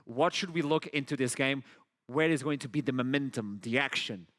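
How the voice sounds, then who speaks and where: A man's voice: medium pitch, normal speed, a bit bright. A man hosting an esports analysis desk, who leads the talk by putting questions to his panel. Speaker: host.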